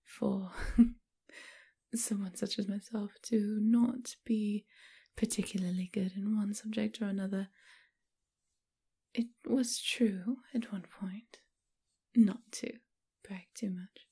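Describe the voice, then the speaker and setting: A woman playing a doting, teasing, refined vampire mistress in an intimate ASMR roleplay, whispery and husky. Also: medium pitch, fairly even intonation, slow pace, dark tone, heavily acted. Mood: wry, confiding.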